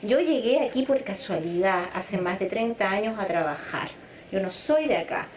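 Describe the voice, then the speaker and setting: A woman's voice: medium pitch, lively intonation, normal speed, echoey room, slight background noise. A middle-aged woman, a psychologist raised partly in Venezuela, speaking thoughtfully in an interview, stretching words for emphasis. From Chile, raised in Venezuela. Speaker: psychologist guest. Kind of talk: conversational interview.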